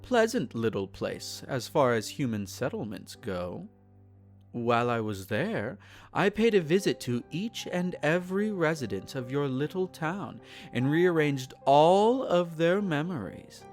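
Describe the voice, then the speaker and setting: A possessive vampire lord , acted by a man, speaks intimately to the listener in a roleplay drama. He is formal and unhurried, doting with a condescending, menacing edge. His slightly high male voice rises and falls widely over soft music. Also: big pitch swings. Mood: coolly pleased. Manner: formal, measured, soothing and condescending.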